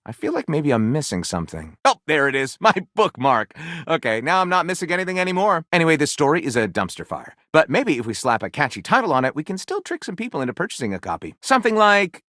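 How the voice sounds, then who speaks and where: A man's voice: on the high side, very animated, quick pace, fairly bright tone, no echo, studio quality. A man voicing a talking book in a video game, a glib and sardonic literary critic with theatrical enthusiasm, speaking in chatty monologue. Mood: dry, critical. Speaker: dateable game character reviewing and titling stories. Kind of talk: video game character voice acting, conversational monologue.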